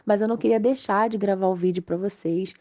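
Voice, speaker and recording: Slightly hoarse, mellow Brazilian woman. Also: medium pitch, steady tone, fairly quick. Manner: explanatory, conversational, checking in with tag questions. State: mild cold with a cough. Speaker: host of a spiritist study channel. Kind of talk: informal religious study talk to viewers.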